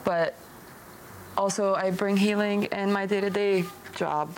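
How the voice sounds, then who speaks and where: A woman's voice: medium pitch, fairly even intonation, measured pace, low-quality recording. A young woman from Syria talking openly and reflectively as a guest in a personal conversation, with an easy giggle. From Damascus, Syria. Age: young adult. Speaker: podcast guest, medical device engineer. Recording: clear background noise, ambient sound.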